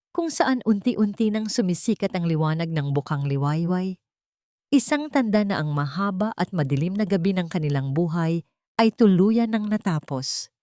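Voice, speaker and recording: A woman storyteller reading a scripted romantic drama in a measured, literary manner. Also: lowish voice, darkish tone, dry recording, clean studio sound.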